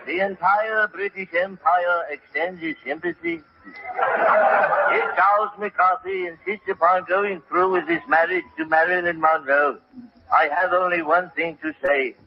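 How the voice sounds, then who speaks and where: A man's voice: high voice, fairly even intonation, unhurried. An actor playing a grave British statesman giving solemn mock oratory in a radio comedy. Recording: noisy, muffled shortwave-style radio broadcast.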